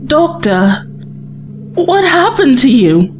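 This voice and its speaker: A woman plays a devoted, worried young sidekick in a sci-fi audio drama. Her highish voice moves slowly and hesitantly, with lively swings in pitch. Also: soft background music, exaggerated voice. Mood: anxious. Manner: hesitant, earnest. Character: anxious, devoted young female companion of the Doctor.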